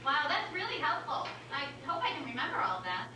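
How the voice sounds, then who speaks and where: A woman's voice: sits high, big pitch swings, brisk. A woman playing an eager, friendly novice comedian, bubbly in a naturalistic staged reading. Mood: grateful, eager. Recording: echoey room, distant, noisy.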